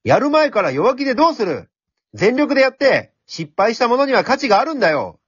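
A man voicing a brash, cheeky talking pencil in an amateur radio drama, speaking in rough, cocky, encouraging slang. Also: extremely high pitch, big pitch swings, a little fast, no room sound, lo-fi sound, exaggerated voice. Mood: urging, fired up.

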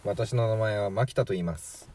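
A man's voice: on the low side, normal speed, darkish tone, natural voice. A man playing a chatty, flippant 43-year-old from the future in a radio drama, glib and matter-of-fact. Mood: calm, matter-of-fact. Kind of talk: amateur radio drama acting.

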